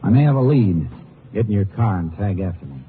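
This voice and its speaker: An actor playing a steady, no-nonsense Texas Ranger investigator, terse and matter-of-fact in an old radio drama. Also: male voice, on the low side, brisk, heavy background noise. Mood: businesslike. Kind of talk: 1950s radio drama acting with terse first-person narration. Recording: tinny old radio recording with music.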